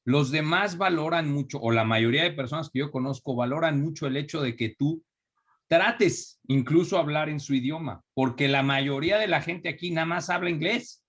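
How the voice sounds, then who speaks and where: A young adult man from Mexico with a Mexican accent, speaking as an interview guest in a relaxed, reflective way with frequent restarts. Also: fairly high pitch, moderate pace. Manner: thoughtful, restarts often, reflective. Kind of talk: conversational interview.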